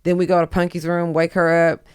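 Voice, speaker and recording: A woman's voice: lowish voice, monotone, brisk, darkish tone, clean tone, no echo, studio quality. A woman guesting on a podcast, chatting casually with slang and swearing. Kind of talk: casual chat.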